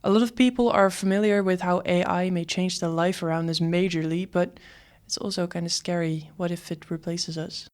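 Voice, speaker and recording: A woman's voice: lowish voice, steady tone, normal speed, a little mellow. A woman in her twenties presenting a student podcast from a script. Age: 20s.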